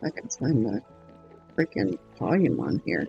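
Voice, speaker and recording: A man streaming a game in casual chat, hoarse with his voice going in and out, rambling slowly with many pauses and ums. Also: mid-range voice, huge pitch swings, lo-fi sound, soft background music.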